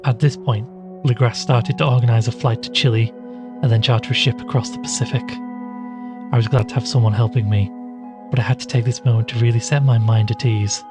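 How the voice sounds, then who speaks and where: An actor playing an anxious, weary podcaster in an audio drama, with a brooding, reflective manner. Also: male voice, medium pitch, steady tone, fairly quick, over music, close to an everyday voice. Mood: brooding narration. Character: anxious, weary male podcaster drawn into occult mystery. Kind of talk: audio drama narration and dialogue.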